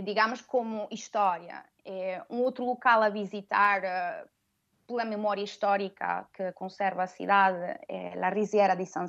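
A woman from Venezuela and Madeira talks as an interview guest in a mid-range voice. She hesitates often and fills her sentences with pauses and tag questions. The recording sounds dull and muffled. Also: mid-range voice. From Venezuela, raised from 12 in Madeira. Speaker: guest, language teacher. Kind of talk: conversational interview.

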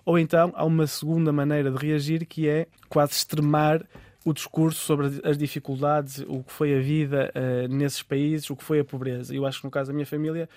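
A man's voice: on the high side, little pitch movement, a little fast, a little mellow, dry recording. A man in his thirties with a European Portuguese accent, answering interview questions thoughtfully with frequent hesitations and restarts. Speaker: author and interview guest.

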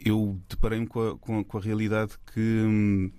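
A man's voice: on the low side, flat delivery, normal speed, mellow, smooth, no room sound. A man from Portugal, a filmmaker, talking in a casual interview with many fillers and restarts. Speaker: guest filmmaker.

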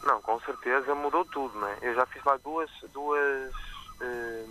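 A man with a Porto accent and some Brazilian expressions, a musician talking casually in a live radio interview, often pausing with fillers and restarting. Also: medium pitch, a little hiss or hum, low-quality recording. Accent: Porto Portuguese with some Brazilian expressions. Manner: relaxed, chatty, with frequent fillers and restarts. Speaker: musician guest. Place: remote from his own location, on live radio.

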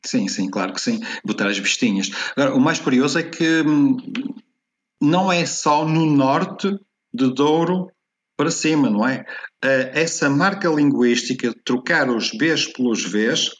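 A man's voice: medium pitch, expressive, a little slow. A man with a European Portuguese accent explaining things conversationally as an interview guest, repeating words for emphasis. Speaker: interview guest, expert on language.

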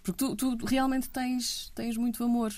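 A woman interviewer who asks gentle, hesitant questions full of fillers. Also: on the high side, level tone, moderate pace. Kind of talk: casual interview.

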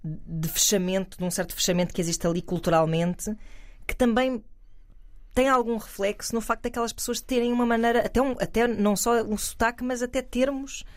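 A woman's voice: on the high side, steady tone, a little fast, no room sound. A woman, talking through her view in a casual panel discussion, thoughtful and hedging with many fillers. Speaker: panel commentator.

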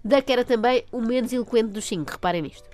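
A woman's voice: a little high, fast talker, darkish tone, faint music behind. A woman with a European Portuguese accent delivering a scripted comic monologue as a radio host, in a wry, mock-earnest manner.

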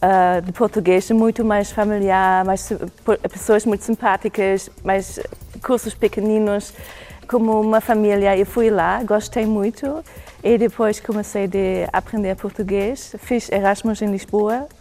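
A woman's voice: mid-range voice, fairly even intonation, unhurried, mellow, soft background music. A woman speaking Portuguese as a learner in interview answers, hesitant and searching for words.

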